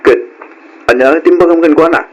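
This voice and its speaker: An actor playing a hardworking, respectful farmer in a radio drama, with an Ilocano accent. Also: male voice, a little high, fairly quick, lo-fi sound, natural voice. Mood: casual. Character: hardworking, respectful grown farmer, easygoing son and husband. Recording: old radio drama, faint noise.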